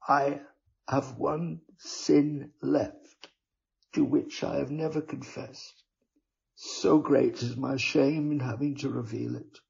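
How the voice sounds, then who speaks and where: A man's voice: on the high side, animated, takes it slowly, a little mellow, voice pushed up, exaggerated voice. A man doing a sly, falsely pious sinner. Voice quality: husky, breathy. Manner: wheedling, mock-devout. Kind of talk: storybook reading. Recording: dull lo-fi recording.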